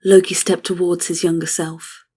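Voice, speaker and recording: Casting: female narrator for fantasy audio drama; lowish, breathy, restrained and even delivery. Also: normal speed. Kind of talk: scripted dramatic story narration.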